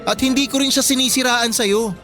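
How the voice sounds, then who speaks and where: Very high-pitched, insistent young Filipino boyfriend, acted by a man. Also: steady tone, brisk, bright, forceful tone. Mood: defensive. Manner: insistent, emotional. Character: disapproving young boyfriend, earnest and pleading. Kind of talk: radio-drama dialogue acting. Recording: music bed behind the voice.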